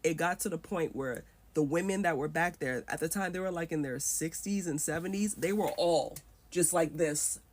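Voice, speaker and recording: A woman's voice: low-pitched, moderate pace. A woman in her thirties retelling a personal story in a casual, emotional way, acting out the voices she quotes.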